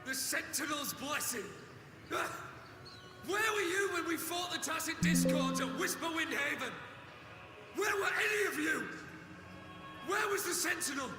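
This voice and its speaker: A man's voice: very high-pitched, animated, a little slow, pauses often, heavily acted. A man voicing a bitter, furious war-veteran knight in a video game, ranting and accusing. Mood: outraged. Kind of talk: dramatic video game voice acting. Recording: echoey hall reverb, music underneath.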